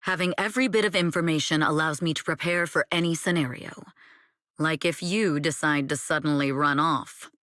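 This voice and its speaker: Low, composed, shrewd manager. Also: female voice, fairly even intonation, a bit bright, no echo. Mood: dryly amused. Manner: measured, dry, matter-of-fact. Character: shrewd, composed female manager and former spy, dryly exasperated. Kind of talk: video game voice acting.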